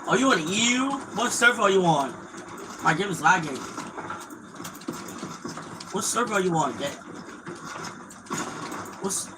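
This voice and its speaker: A man's voice: sits high, animated, measured pace, long pauses, background noise. Crude mocking young male gamer streamer. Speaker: gaming streamer. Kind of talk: casual live-stream trash talk.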